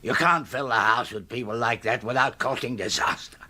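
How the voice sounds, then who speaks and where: Husky, peevish old English uncle. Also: male voice, mid-range voice, moderate pace, bright, forceful tone. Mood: agitated, indignant. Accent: upper-class English. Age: older. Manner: grumbling, agitated, emphatic. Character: peevish, exasperated older upper-class English uncle. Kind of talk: radio comedy drama acting.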